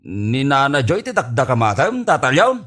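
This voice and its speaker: A man's voice: medium pitch, big pitch swings, moderate pace, fairly bright tone. A man playing an easygoing younger drinking buddy, puzzled and teasing, with an Ilocano accent in a comedy radio drama.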